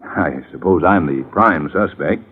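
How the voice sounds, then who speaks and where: An actor playing a middle-aged lawyer in a vintage radio drama, glib and brisk, with a folksy, evasive way of talking. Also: male voice, deep voice. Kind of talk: 1950s radio drama acting. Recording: muffled, hissy vintage radio recording.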